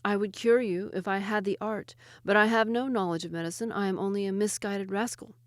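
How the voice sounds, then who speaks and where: A woman's voice: mid-range voice, steady tone, fairly quick, no room sound, clean studio sound, natural voice. A woman voicing a sly young male woodcutter who poses as a physician. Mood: pleading.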